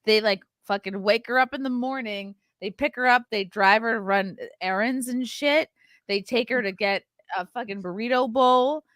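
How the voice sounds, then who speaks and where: A woman hosting a casual commentary podcast, talking in a profane, animated way and mimicking the people she discusses. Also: on the high side, normal speed, dry recording. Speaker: comedian podcast host.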